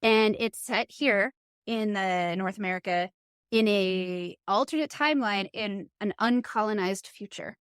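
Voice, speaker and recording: A woman interviewer with a medium-pitched voice speaks in a dry, echo-free recording. She is conversational and earnest, working out her thoughts as she goes. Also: a little slow, smooth. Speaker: podcast interviewer and host.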